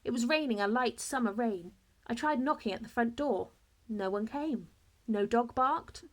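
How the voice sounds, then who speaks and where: A woman reading a children's story aloud in expressive narration, like a teacher to a class. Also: a little high, moderate pace.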